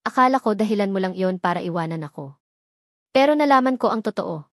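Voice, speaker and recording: A woman voicing a desperate young man who quietly pleads with the woman he loves, in a dry close recording. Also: medium pitch, expressive, fast talker, dry recording, natural voice. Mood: pleading. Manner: quiet, pleading, nearly whispering.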